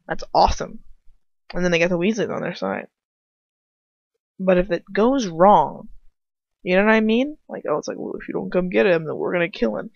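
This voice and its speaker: A woman's voice: fairly low pitch, moderate pace, gravelly, pauses often. A woman talking casually in a rambling, opinionated, slangy way, with some swearing, as she comments on a story she is reading aloud. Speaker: amateur fan fiction reader. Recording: roomy, slightly dull home recording.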